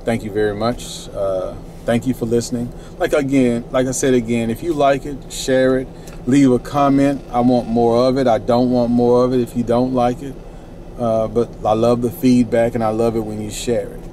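A man's voice: medium pitch, flat delivery, normal speed, dark tone, slight background noise. A middle-aged man reciting his own rhyming spoken word poetry in a laid-back, rhythmic way from inside a parked minivan at night.

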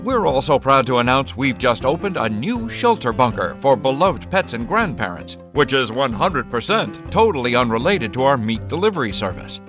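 A man voicing a slick, upbeat pitchman with sinister cheer in a parody advert. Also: very animated, normal speed, few pauses, music in the background, natural voice.

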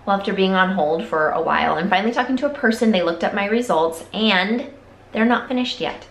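A woman's voice: medium pitch, a little fast. A woman in her thirties, a mother vlogging casually to a handheld camera at arm's length, chatty and playful. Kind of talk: casual vlog chat. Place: indoors, store and home.

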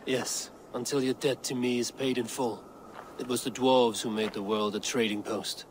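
An actor playing a weary, calculating Norse god-warrior in a video game, speaking in a solemn, measured, formal way. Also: male voice, mid-range voice, fairly even intonation, a little hiss or hum, close to an everyday voice, low-quality recording. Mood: curt, stern. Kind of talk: cinematic video game acting.

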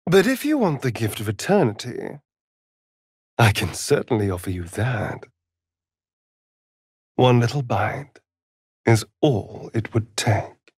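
A man's voice: mid-range voice, very animated, slow, pauses often, breathy edge, no echo, clean studio sound, heavily acted. A man with a British accent playing a vain, sardonic immortal vampire rogue in a fantasy video game, theatrical and arch. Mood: seductive, teasing. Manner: arch, drawling, flamboyant.

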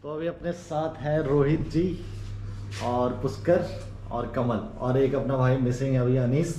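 A man's voice: medium pitch, steady tone, a little slow. A man chats casually as a vlog host, mixing in English words on a handheld camera. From India. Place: busy cafe and roadside, indoors and outdoors. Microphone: camera held at arm's length. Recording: very noisy handheld recording, street and room ambience. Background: loud urban ambience, vehicles.

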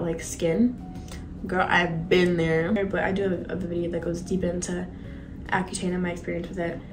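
A 21-year-old woman vlogger talking in a casual, slangy, confiding way, as in a chatty sit-down monologue. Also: fairly low pitch. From several countries, including Argentina and Bosnia. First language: bilingual English and Spanish. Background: background music.

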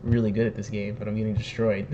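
A young man with a slightly hoarse voice, chatting casually and jokingly while he plays and reads game text aloud. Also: on the low side, little pitch movement, fairly quick, warm tone, slight background noise. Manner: chatty, joking, laughs at himself. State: slightly hoarse, says he is sick and losing his voice. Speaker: streamer playing a video game. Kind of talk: casual let's-play commentary, reading in-game text aloud. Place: home room, webcam in corner.

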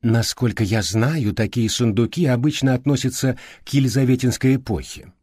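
A man's voice: mid-range voice, normal speed, a little mellow, no room sound, studio quality, lightly acted. A man voicing an efficient, literal-minded middle-aged female secretary in an audiobook, precise and matter-of-fact.

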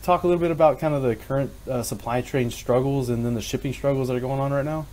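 A man's voice: medium pitch, quick pace, a little mellow. A man in his thirties hosting a podcast over a video call, easygoing and loose with fillers. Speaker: podcast host. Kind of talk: casual interview hosting.

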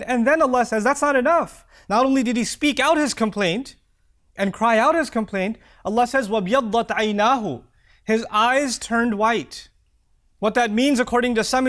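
A man in his thirties giving an expressive Friday sermon in a small prayer hall, reciting verses and then explaining them. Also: extremely high pitch. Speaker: preacher. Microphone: lapel mic.

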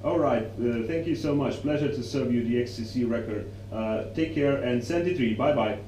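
A man's voice: medium pitch, moderate pace, dark tone. A young adult man, demonstrating and explaining amateur radio calls as presenter and operator. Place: small indoor radio room. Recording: roomy echo, faint hum and noise.

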